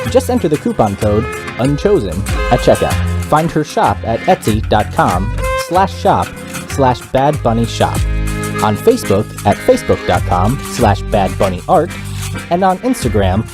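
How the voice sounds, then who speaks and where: A man's voice: mid-range voice, natural voice. An actor playing a smug, sarcastic male local news anchor in a comedy audio drama, with a pompous newscast delivery. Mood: promotional, slick.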